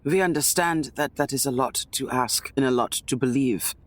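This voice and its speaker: A man's voice: mid-range voice, normal speed. A man playing a tabletop roleplaying game, improvising his character's words and describing actions.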